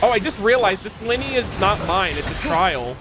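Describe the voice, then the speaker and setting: Higher-pitched man streaming a game, slangy. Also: normal speed, loud music behind the voice, rough recording. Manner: slangy, expressive. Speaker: gaming streamer. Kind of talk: casual live gameplay commentary.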